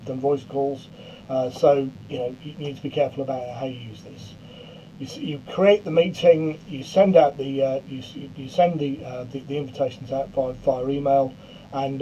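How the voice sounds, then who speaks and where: A middle-aged man giving an informal software demonstration in a halting way, often stammering and repeating words. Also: medium pitch, muffled recording, a little hiss or hum. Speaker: presenter of a software tutorial.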